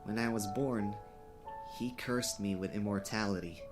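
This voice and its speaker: A man voicing an awkward, mild-mannered teenage boy in an animated comic dub, halting and apologetic. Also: fairly low pitch, music in the background, natural voice. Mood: uneasy, apologetic.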